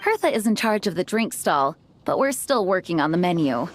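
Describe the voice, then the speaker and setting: An actress playing an earnest, modest young knight commander in an anime game, speaking formally and politely. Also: female voice, on the low side, sing-song, fairly quick. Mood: composed, helpful. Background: background music.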